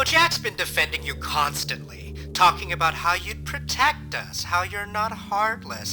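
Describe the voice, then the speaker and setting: Casting: a plain-spoken, loyal young coworker in audio drama, unhurried delivery, informal, with music underneath. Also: male voice, a little high. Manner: blunt, informal. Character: loyal, blunt, sweary young male coworker.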